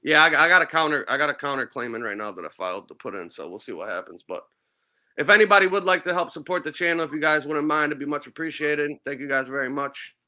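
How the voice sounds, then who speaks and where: A man hosting a live stream rants fast and heatedly, swearing through every sentence. His voice is sharp and forceful, pitched a little high. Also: expressive. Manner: profane, fast, fired-up ranting. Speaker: show host.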